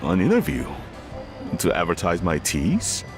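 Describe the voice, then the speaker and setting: A slow, deep tea merchant. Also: male voice, huge pitch swings, heavily acted. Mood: cautious. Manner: slow, deliberate, careful. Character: cautious older male tea merchant. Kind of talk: game voice acting. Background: game background music.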